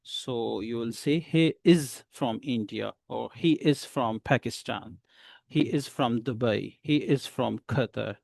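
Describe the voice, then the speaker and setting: A male teacher talks slowly and patiently to beginners, pausing often between short phrases. His voice is gravelly with a lot of fry, and the recording sounds dry and close. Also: mid-range voice, a little mellow, dry recording. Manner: slow, patient explaining with many pauses. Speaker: English teacher. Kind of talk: beginner English lesson.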